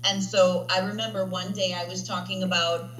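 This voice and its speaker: Bright-voiced chatty woman teacher. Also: lowish voice, flat delivery, moderate pace, echoey room, slight background noise, poor audio. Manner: chatty, tags statements with "right?". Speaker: language teacher. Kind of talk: interview conversation.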